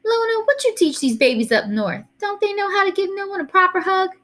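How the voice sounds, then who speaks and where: Woman playing a feisty, high-pitched old Southern granny. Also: lively intonation, brisk, a bit bright. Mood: affectionate. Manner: folksy, emphatic, commanding. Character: feisty, loving old Southern grandmother, small and wrinkly.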